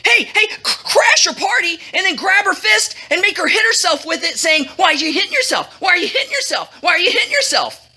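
A woman voicing a pushy, excitable male courtier who talks in an emphatic, slangy way in a comic story. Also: high voice, moderate pace, exaggerated voice. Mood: overexcited. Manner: emphatic, colloquial, egging on.

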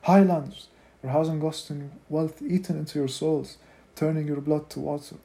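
A man's voice: mid-range voice, monotone, fairly quick, vocal fry, dark tone, close to an everyday voice. A man voicing a rakish, charismatic male rebel leader giving a declamatory rallying speech. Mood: stormy.

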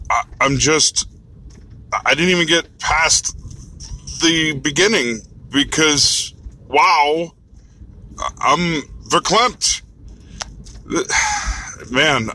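A man leaving a casual, heartfelt, halting voice message as a podcast caller. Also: mid-range voice, bright, forceful tone, a little hiss or hum, poor audio.

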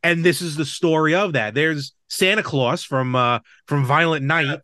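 A man talking casually as a podcast co-host, explaining things in an animated way and checking in with the listener. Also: fairly high pitch, normal speed, no echo, studio quality. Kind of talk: casual chat about movies and games.

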